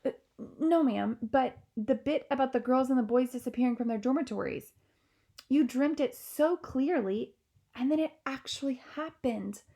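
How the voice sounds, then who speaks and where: A woman's voice: high-pitched, moderate pace, dark tone, voice pushed up. A woman reading a storybook aloud, voicing a nervous maid who stammers and defers. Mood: nervous, deferential.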